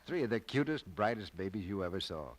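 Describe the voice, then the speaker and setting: Animated low-voiced radio announcer man. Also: fast talker. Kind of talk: 1940s radio announcing, scripted. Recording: old 1940s radio broadcast recording.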